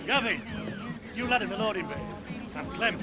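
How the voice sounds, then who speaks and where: An actor playing an older pub regular who rambles and grumbles about the past. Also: male voice, sits high, expressive, normal speed. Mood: grumbling. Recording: music behind.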